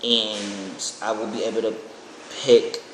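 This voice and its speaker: Young vlogger just chatting, kinda excitable and rambly, big echo in the room, some background noise. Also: mid-range voice, slow pace. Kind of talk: casual chat to camera.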